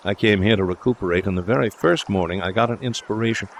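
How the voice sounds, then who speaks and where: A man voicing a breezy, confident young gentleman suitor in an audiobook, speaking in a jaunty, reassuring, chatty way. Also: lowish voice, quick pace, a little hiss or hum, natural voice. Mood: chatty, confiding. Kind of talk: audiobook character dialogue.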